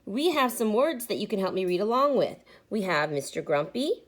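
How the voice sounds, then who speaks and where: A woman reading a children's book aloud like a teacher, deliberate and pausing so listeners can join in. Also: medium pitch, sing-song. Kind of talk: interactive children's read-aloud.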